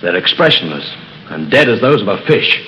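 An actor playing an earnest young fiancé in a 1930s radio drama. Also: male voice, on the low side, sing-song, a little fast, bright, forceful tone. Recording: dull, noisy old radio recording.

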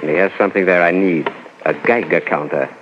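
Man playing low-voiced menacing stranger, old radio drama. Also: natural voice. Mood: commanding. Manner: slow, calm, commanding. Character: menacing, coldly controlled, heavy strange man with hypnotic power. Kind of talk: 1940s radio acting. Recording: muffled old 1940s radio recording, noisy.

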